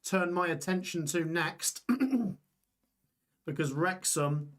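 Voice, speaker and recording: A man's voice: high-pitched, animated, takes it slowly. Chatty man calling live scores. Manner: chatty, reads scores aloud, reacts to chat. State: voice going hoarse. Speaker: live stream host. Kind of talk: live football watch-along commentary.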